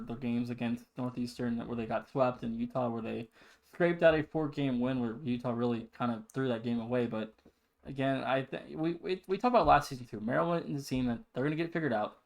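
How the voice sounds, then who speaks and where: A man's voice: medium pitch, fairly even intonation, a little fast. A man with a mid-range voice speaks as a sports podcast host. He runs through results and past seasons as analysis. His delivery is emphatic and opinionated.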